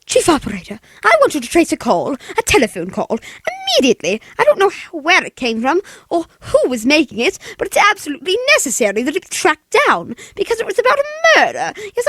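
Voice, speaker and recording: A man's voice: extremely high pitch, a bit bright, no echo, exaggerated voice. A man voicing a demanding, hysterical wealthy invalid woman in an old radio play, imperious and exasperated. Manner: imperious, exasperated, breathless urgency. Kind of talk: 1940s radio play acting.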